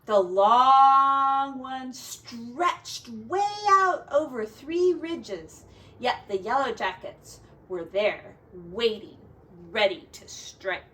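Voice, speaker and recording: A woman reading a children's folk tale aloud in an expressive, dramatic and deliberate way, like a teacher. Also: on the high side. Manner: dramatic, deliberate, with quiet asides to young listeners.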